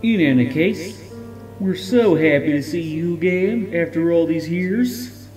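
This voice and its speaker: A man voicing a warm, fatherly country stallion with a folksy, heartfelt manner in a dramatic fan fiction reading. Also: fairly high pitch, measured pace, faint music behind, natural voice, poor audio. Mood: affectionate.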